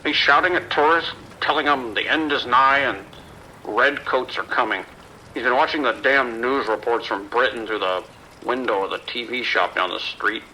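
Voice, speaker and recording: A man playing a gruff, exasperated local on a call in an audio drama, blunt and grumbling. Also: medium pitch, moderate pace, pushed and bright, slight background noise, rough recording. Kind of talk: audio drama phone dialogue.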